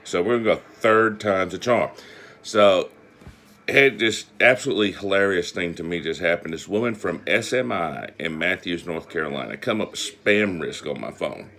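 A man's voice: deep voice, normal speed, fairly bright tone. A man, chatting casually to his phone camera in a blunt, folksy way, telling stories. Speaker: amateur video blogger. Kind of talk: casual chat to camera, storytelling. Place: small indoor room with panel ceiling. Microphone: phone at arm's length.

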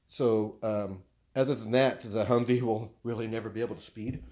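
A man with an American accent chatting casually and haltingly, thinking aloud as he shows off purchases in a living room. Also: on the low side, steady tone, darkish tone. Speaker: vlogger showing purchases. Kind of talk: casual unboxing chat.

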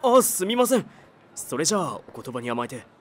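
A flustered office worker. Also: male voice, a little high, very animated, a little fast. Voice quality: flustered, apologetic. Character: flustered young office worker, timid adult man. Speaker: narrator and lead character. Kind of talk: audio drama acting with narration.

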